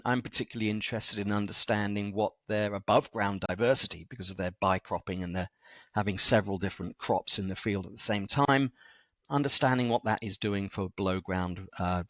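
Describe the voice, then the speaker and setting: Low-voiced man, measured and halting. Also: fairly even intonation, a bit bright, no echo. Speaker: guest soil scientist. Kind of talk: thoughtful podcast interview talk.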